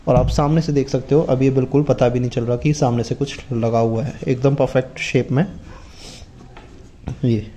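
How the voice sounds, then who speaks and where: Man, casual unboxing vlog, flat even delivery, mellow tone. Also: mid-range voice, normal speed, slight background noise. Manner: explains step by step while handling gear. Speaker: motovlogger reviewing gear. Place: indoors at a table, off camera.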